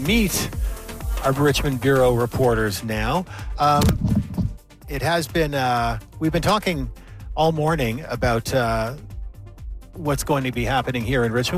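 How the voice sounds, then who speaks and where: A man hosting a live radio show, interviewing guests in a relaxed, chatty way with many fillers. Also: medium pitch.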